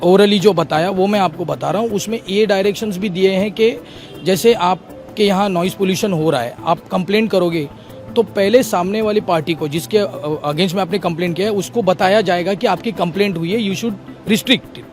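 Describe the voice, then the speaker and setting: A man's voice: sits high, moderate pace, faint music behind. A man, a lawyer, answering a news interviewer, laying out legal points step by step with English legal phrases mixed in. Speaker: lawyer for the petitioners.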